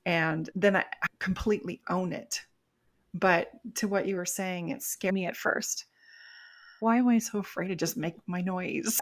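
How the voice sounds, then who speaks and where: An older woman, a life coach, telling a personal story on a podcast in an earnest, reflective way. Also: on the low side. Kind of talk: podcast interview.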